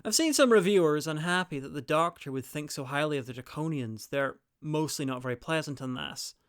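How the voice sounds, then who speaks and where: Clear, dry, higher-pitched man reviewing. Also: animated, a little fast, no echo. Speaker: reviewer and host. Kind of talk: scripted video review commentary.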